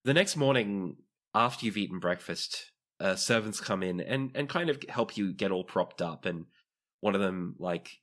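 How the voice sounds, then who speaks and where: A man with a deep voice runs a tabletop role-playing game. He narrates scenes as game master in a conversational, descriptive storytelling style. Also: expressive, moderate pace, a bit bright.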